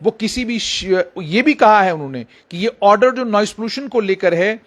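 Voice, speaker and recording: Guy doing a news explainer, pretty high voice, hammers points by repeating them. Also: animated, moderate pace, a bit bright. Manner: emphatic, repeats phrases for emphasis. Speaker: news reporter. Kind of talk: explanatory news report, speaking directly to viewers.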